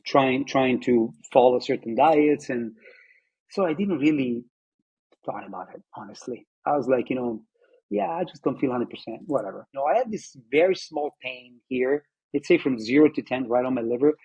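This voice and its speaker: Husky man in his fifties. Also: mid-range voice, a little mellow, lo-fi sound. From Italy. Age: 50s. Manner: reflective, halting, many fillers and restarts. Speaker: patient telling his story. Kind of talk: personal testimony interview.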